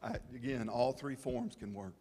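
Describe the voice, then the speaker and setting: A man presenting at a town information session, explaining to the public in a halting, plain-spoken way. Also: mid-range voice, warm tone, slight background noise. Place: town meeting hall. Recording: long reverb of a meeting hall.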